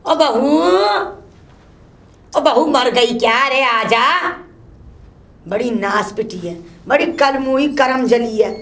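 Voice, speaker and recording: A woman playing a shrill, cursing old mother-in-law who keeps scolding her daughter-in-law. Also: a bit bright. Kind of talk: comic one-woman sketch.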